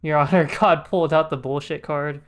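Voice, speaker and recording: A man's voice: fairly high pitch, steady tone, brisk, a bit bright. A man giving casual, slangy, joking gameplay commentary as a streamer. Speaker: game streamer.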